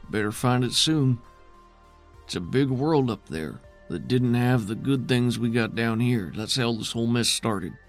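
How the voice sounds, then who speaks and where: A man's voice: mid-range voice, unhurried, soft background music, lightly acted. A man voicing a weary, defiant male settlement leader in a tabletop game, with folksy, plainspoken, skeptical phrasing. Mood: wary.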